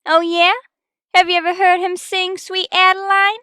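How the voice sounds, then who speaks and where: A woman playing a brash, sassy tavern owner's daughter in an old-time radio comedy, firing back quick comebacks. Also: very high voice, level tone, bright, forceful tone, dry recording, clean studio sound.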